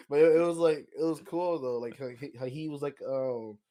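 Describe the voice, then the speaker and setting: A man co-hosting a podcast, talking casually and conversationally with lots of slang and animated opinions. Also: fairly high pitch, moderate pace. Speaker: podcast co-host. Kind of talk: casual movie discussion chat.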